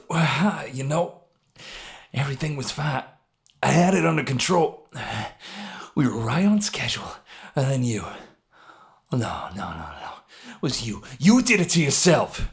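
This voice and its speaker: A man voicing a wounded, guilt-ridden robber in a dramatic story, bitter and grieving, with hoarse, folksy, sweary speech. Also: fairly high pitch, pitched above the performer's own voice. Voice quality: hoarse, breathy at times. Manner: rough folksy talk, swearing, sighing, talking to a dead partner. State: pained, worn out.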